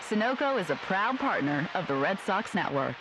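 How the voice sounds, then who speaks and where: A woman's voice: medium pitch, sing-song, normal speed, noisy background. A woman reading a scripted radio commercial as an announcer. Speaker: advert announcer.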